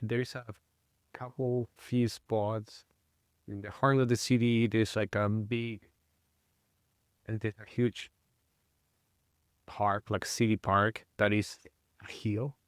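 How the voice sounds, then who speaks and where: Chilean man with a smooth low voice. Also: little pitch movement, no echo, clean studio sound. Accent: Chilean Spanish. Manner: halting, many fillers and restarts. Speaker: guest, mountain bike guide. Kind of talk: casual interview conversation.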